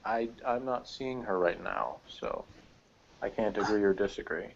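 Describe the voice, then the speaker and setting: A man chatting casually as a guest reviewer, rambling and hesitant, picking through his thoughts in a measured way. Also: lowish voice, sing-song, creaky, slight background noise. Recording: muffled lo-fi call audio.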